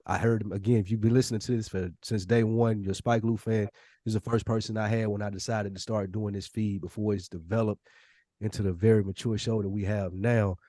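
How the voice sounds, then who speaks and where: A man's voice: lowish voice, little pitch movement, brisk, warm tone, no echo, clean studio sound. A man with a Southern US accent hosting a casual podcast, telling stories in slangy, conversational language. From Nashville, United States. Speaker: podcast host.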